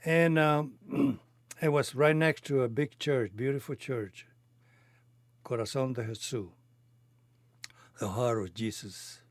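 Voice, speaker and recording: A man in his eighties from Cuba, a native Spanish speaker with a Cuban accent, recounting his life in halting, non-native phrasing. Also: medium pitch, no room sound. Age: 80s. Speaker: interviewee telling his life story. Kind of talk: reminiscing conversation.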